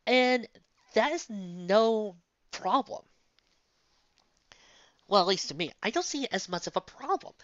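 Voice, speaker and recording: A woman giving a casual, off-the-cuff story review in a halting, think-aloud manner. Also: medium pitch, dry recording, lo-fi sound. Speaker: fan fiction reader and reviewer.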